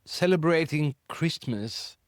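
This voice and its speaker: A man with a Greek accent co-hosting a podcast, chatting casually and getting animated, his voice climbing high when worked up. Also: slow pace, no echo, clean studio sound. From Greece. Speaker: podcast co-host. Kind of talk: casual chat.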